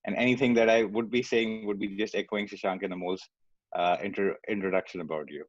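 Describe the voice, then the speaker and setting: A man's voice: lowish voice, steady tone, fast talker, dry recording. A man co-hosting a podcast, chatting in a friendly, hesitant way with many fillers. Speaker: podcast co-host. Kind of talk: casual podcast chat. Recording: dry, dull lo-fi sound.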